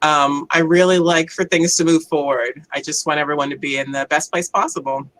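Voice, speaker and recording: A woman pitching casually as a game contestant, with a somewhat halting, hesitant delivery. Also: steady tone, a bit bright.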